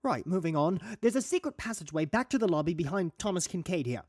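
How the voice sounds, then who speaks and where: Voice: medium pitch, fast talker. An actor playing a bossy, impatient, obsessive leader of an eccentric gang in a comedy audio drama. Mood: brisk. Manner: commanding, excitable.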